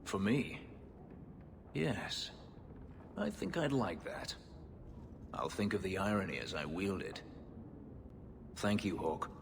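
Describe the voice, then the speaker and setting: A man voicing a brooding, bitter warrior in a video game, speaking in a measured, grave, dryly sardonic way. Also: low voice, very animated, gravelly, long reverb tail, background noise, exaggerated voice. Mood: quietly touched. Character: brooding, bitter male warrior from a slave-keeping empire.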